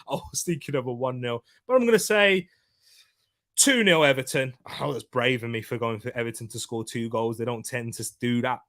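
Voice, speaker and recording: A man's voice: medium pitch, a bit bright. A man hosting a casual football preview live stream, chatting loosely and reading out and joking with viewer comments. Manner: chatty, reads out viewer comments, jokey banter. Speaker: football preview show host.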